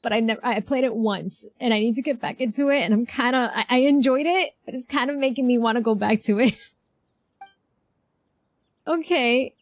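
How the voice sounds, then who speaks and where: A woman's voice: a little high, normal speed, dry recording. A woman streamer giving casual game reaction commentary, chatty and thinking aloud. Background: faint game music.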